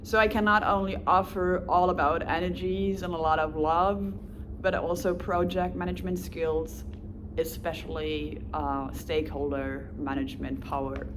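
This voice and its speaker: A woman's voice: low-pitched, fairly even intonation, moderate pace, noisy background. A woman with a German accent, whose first language is German, introducing herself in a casual, rambling way with restarts and small laughs. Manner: rambling with self-corrections and light laughs. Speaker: volunteer applicant. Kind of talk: casual self-presentation.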